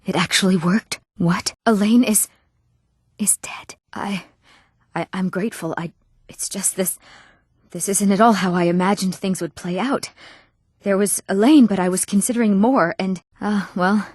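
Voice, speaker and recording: A woman's voice: on the low side, a little mellow, breathy edge, no room sound, clean studio sound. A woman voicing an emotive, dramatic young woman in a fantasy video game. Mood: shocked, hesitant. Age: young adult. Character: eager, wronged young woman of a fantasy world. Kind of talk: video game character voice lines.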